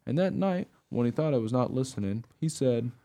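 An earnest, naive dog narrates his life in a radio play, acted by a man. His mid-pitched voice is mellow and rises and falls freely as he tells the story. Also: expressive, brisk, close to an everyday voice. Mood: earnest storytelling.

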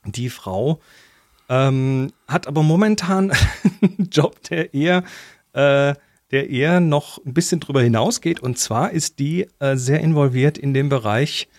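A man's voice: a little high, measured pace, no echo, studio quality. A man co-hosting a casual tech podcast, playful and teasing. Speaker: podcast co-host. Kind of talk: casual chat.

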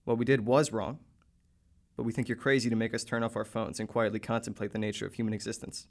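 A man's voice: lowish voice, flat delivery, fast, vocal fry. A man playing a wry, defiant teenage boy narrating a letter in a radio drama.

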